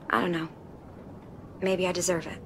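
A woman's voice: fairly low pitch, level tone, slow, noisy background. A woman playing an anxious young survivor in a game drama, speaking hesitantly and apologetically. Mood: regretful, troubled. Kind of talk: naturalistic video game drama acting.

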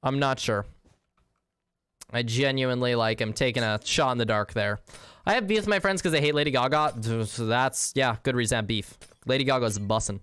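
A man's voice: mid-range voice, fairly bright tone, clear, resonant tone, no echo. A young man casually chatting with viewers while playing a game, slangy and easygoing, with the odd swear. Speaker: streamer. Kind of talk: casual game livestream, reading chat.

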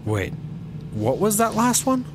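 An actor playing a wary, dry, incredulous cop in a comedy audio drama. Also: male voice, sits high, huge pitch swings, unhurried, background noise, exaggerated voice. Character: wary, dry male cop, half of a bumbling duo.

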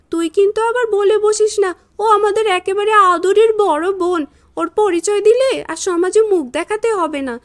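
A woman's voice: very high-pitched, voice pushed up. A woman voicing a spiteful, bossy young sister in a cartoon, scolding with scorn. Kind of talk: Bengali cartoon dubbing.

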